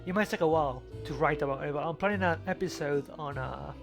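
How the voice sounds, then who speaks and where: A high-pitched male voice with music clearly playing behind it. He is a podcast co-host chatting casually while gaming, jokey and rambling, often repeating himself. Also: fast talker. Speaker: podcast co-host and game player. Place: remote voice call.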